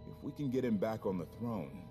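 A man's voice: fairly low pitch, big pitch swings, moderate pace, mellow. A man playing a distrustful, hot-headed loyalist in a video game, speaking in a challenging, sardonic way. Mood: defiant. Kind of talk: video game dialogue acting. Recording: music under the dialogue.

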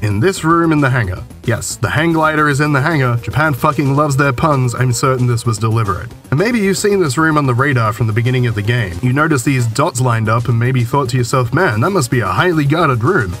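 A man hosting a video game show in scripted, dry and sarcastic comedic narration, his voice a little rough. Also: medium pitch, a bit bright. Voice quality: a little rough from a recent illness. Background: game music under the voice-over.

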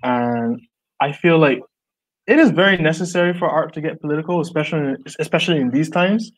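A man's voice: a little high, a little fast, rough recording. A young man from Barbados, an artist, talking over a video call in a small room at home, earnest and reflective, often restarting his sentences with "like". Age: young adult. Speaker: artist guest. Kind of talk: casual video call interview. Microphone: earbuds on a video call.

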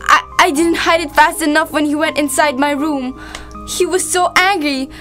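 Woman acting high-voiced, steadfast young prisoner. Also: steady tone, moderate pace, pushed and bright, soft background music. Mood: sorrowful, earnest. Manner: earnest. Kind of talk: amateur student radio drama acting.

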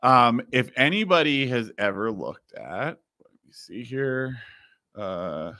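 A man in his thirties, slightly raspy, hosting a casual podcast and explaining things with plenty of fillers, recorded in a home studio. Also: mid-range voice, animated, takes it slowly, pushed and bright, no echo. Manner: explains while screen-sharing, many fillers. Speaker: podcast host. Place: home studio with neon sign.

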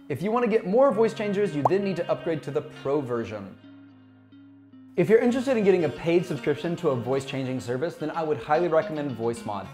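A man in his thirties with a slightly high-pitched voice narrates a software tutorial. He addresses viewers in an upbeat, scripted presenter style, with music playing behind him. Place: small bright office, indoors. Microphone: lapel mic. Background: background music.

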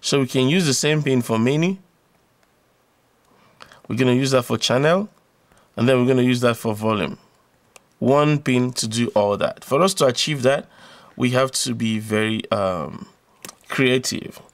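Gravelly man explaining electronics step by step. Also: medium pitch, a little slow, long pauses, dry recording. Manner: step-by-step, repeats phrases for emphasis. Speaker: electronics tutor. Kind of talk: informal explanatory tutorial, talking through a diagram.

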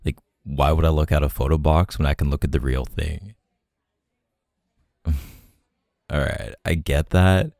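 A young man playing an easygoing, tender boyfriend in an intimate roleplay audio, speaking softly and hesitantly in casual slang. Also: rumbling low, measured pace, gravelly, pauses often, no room sound, clean studio sound, exaggerated voice. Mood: casual, teasing.

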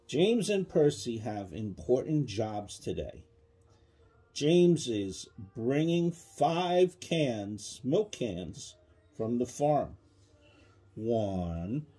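Man, parent reading a picture book, slow delivery, husky, patient, asking questions. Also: mid-range voice, mellow. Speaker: parent reading a bedtime story to a child. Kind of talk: reading a children's counting book aloud. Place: small child's bedroom, indoors.

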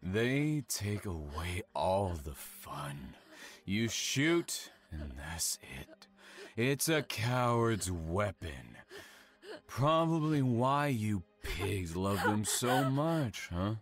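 An actor playing a smug, sadistic serial killer in an audio drama, with mocking, theatrical delivery. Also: male voice, on the low side, big pitch swings, faint music behind, full character voice. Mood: menacing, mocking. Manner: theatrical, mocking, drawn-out taunting.

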